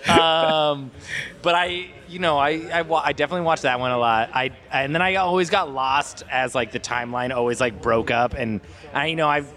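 A man's voice: fairly high pitch, normal speed, pushed and bright. A man in casual chat, talking in a hesitant, rambling way.

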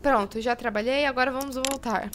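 A woman's voice: sits high, fairly even intonation, fairly quick, fairly bright tone, slight background noise. An actress playing a frazzled, impulsive young woman fretting over money, with a Brazilian accent, in an audio drama. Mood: self-reproaching. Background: music behind the voice.

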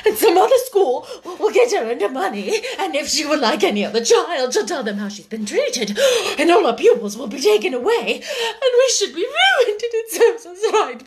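A woman's voice: very high voice, pitched above the performer's own voice. A woman voicing a timid middle-aged younger sister in a storybook, who speaks out in emphatic, breathless, hysterical distress.

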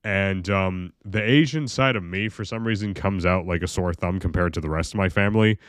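Deep-voiced young man rambling casually. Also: brisk, no echo, clean studio sound. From United States. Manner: rambling, lots of fillers and restarts, swears casually. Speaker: video creator. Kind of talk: casual monologue vlog.

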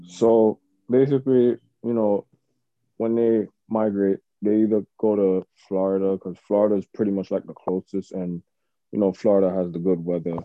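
A man's voice: on the low side, normal speed, no room sound, phone-quality sound. A man with a Jamaican accent, hosting his podcast and chatting casually with lots of fillers and restarts. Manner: relaxed, many fillers and restarts. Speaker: podcast host. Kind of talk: casual interview chat.

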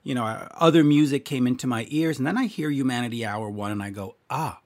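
A man's voice: mid-range voice, fast. A man interviewing a musician, asking eager questions that he restarts often.

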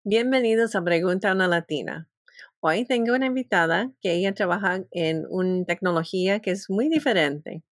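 A woman hosting a podcast interview, opening with a scripted introduction and asking questions in a slow, deliberate way. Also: medium pitch, expressive, no echo, clean studio sound. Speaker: podcast host.

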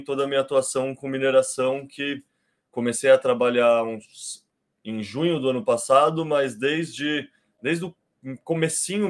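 A Brazilian man in his thirties, speaking with a Brazilian Portuguese accent. He talks at a relaxed, unhurried pace, explaining things step by step and restarting his sentences often. His pitch sits in an ordinary middle range for a man. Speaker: podcast guest. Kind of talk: casual conversation.

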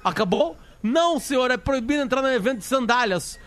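A high-pitched man tells a joke on a live stream. He plays both parties in a back-and-forth with a light, playful delivery. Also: lively intonation, fairly quick, a bit bright. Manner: playful, acts out both sides of a joke dialogue. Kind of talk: casual joke telling.

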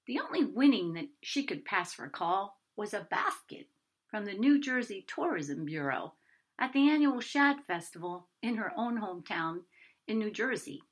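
A woman author reading her own short story aloud in expressive scripted narration. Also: medium pitch, moderate pace.

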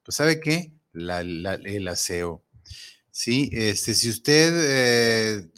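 Un dentista con acento mexicano que conduce un programa de radio y habla con el oyente de forma informal, con muchas frases repetidas y preguntas sueltas. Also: male voice, fairly low pitch, expressive, drawn-out words, a bit bright. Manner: chatty, rambling, many restarts and asides to the listener. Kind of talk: casual radio talk.

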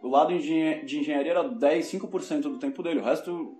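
A man's voice: a little high, fast, mellow, faint music behind. Reflective young man, Paulista accent, roomy echo. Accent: Paulista Brazilian Portuguese. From São Paulo, Brazil. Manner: reflective, self-correcting, many restarts. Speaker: guest. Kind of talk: casual podcast interview.

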